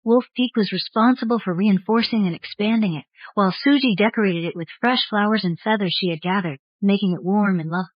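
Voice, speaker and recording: A woman's voice: medium pitch, fairly quick, hardly any pauses, no room sound, lo-fi sound. A woman narrating a scripted story in the style of an audiobook. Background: faint music.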